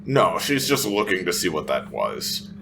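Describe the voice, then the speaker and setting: A man with a lowish voice plays in a tabletop roleplaying game. He describes his character's actions in the third person. There is some noise behind his voice. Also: wildly swooping pitch, measured pace, gravelly.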